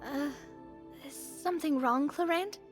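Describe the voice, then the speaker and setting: Eager, high-voiced young adventurer. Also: female voice, unhurried, faint music behind. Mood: curious, concerned. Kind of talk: video game voice acting.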